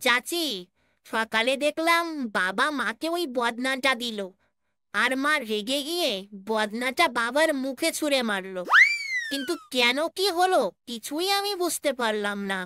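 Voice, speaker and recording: A woman's voice: high-pitched, animated, measured pace, pushed and bright, a little hiss or hum, heavily acted. A woman voicing an innocent, puzzled young village child in a cartoon, speaking in a naive, guileless way. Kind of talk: comic cartoon dubbing.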